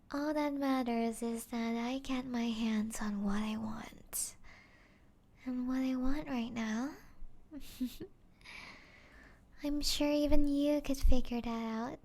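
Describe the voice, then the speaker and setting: A woman voicing a seductive, mocking, possessive vampire in an ASMR roleplay, teasing and taunting in a breathy voice that slips into whispers. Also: high-pitched, fairly even intonation. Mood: seductive, commanding. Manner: teasing, taunting, slow breathy delivery with whispers and soft chuckles.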